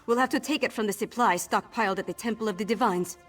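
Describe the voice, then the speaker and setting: A woman's voice: medium pitch, quick pace, music in the background, lightly acted. A woman playing a determined young princess in a fantasy video game, burdened but commanding, with an earnest, firm delivery. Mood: troubled, resolute.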